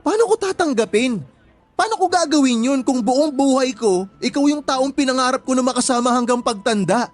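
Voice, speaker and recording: A man's voice: extremely high pitch, expressive, a little fast, soft background music. A man acting a desperate jilted boyfriend in a radio drama, pleading and insistent. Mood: wounded, pleading. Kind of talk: emotional radio drama dialogue.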